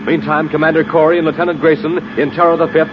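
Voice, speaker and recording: A man announcing and narrating in punchy, emphatic 1950s radio style, like a showman pitching to listeners. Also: little pitch movement, fast. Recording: dull, noisy vintage radio recording.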